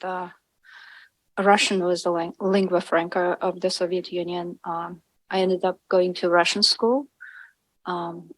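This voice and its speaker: A woman's voice: lowish voice, no room sound. Woman from Kazakhstan, Kazakh native, dry sound. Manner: thoughtful, pauses often with "uh" and "um". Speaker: literary translator on a panel. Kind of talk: personal account on a panel. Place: university symposium event.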